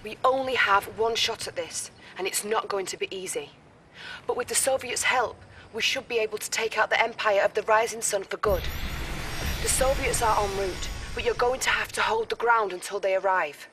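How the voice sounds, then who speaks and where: Young female officer, voiced by a woman, brisk briefings, mid pitch. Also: fairly bright tone, slight background noise, lightly acted.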